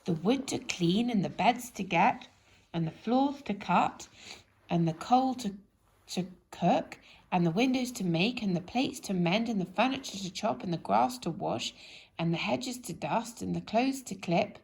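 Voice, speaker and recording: A woman's voice: medium pitch, animated, a little slow, vocal fry, darkish tone, husky, heavily acted. Sleepy storybook layabout, a woman putting him on: male character, befuddled, groaning, muddled and dazed. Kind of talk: children's storybook character reading.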